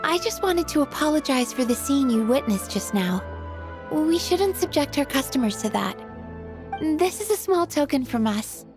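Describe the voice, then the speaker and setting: A timid young restaurant worker in a video game. She speaks in a high voice, courteous and apologetic, with clear music behind her. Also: expressive, normal speed. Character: polite, timid young female restaurant employee.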